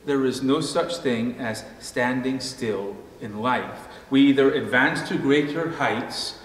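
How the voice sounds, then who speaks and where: A middle-aged man, a pastor, preaching a measured sermon from a pulpit in a church sanctuary, slowing down at the ends of his phrases. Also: medium pitch, fairly bright tone. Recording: echoey hall, faint room noise.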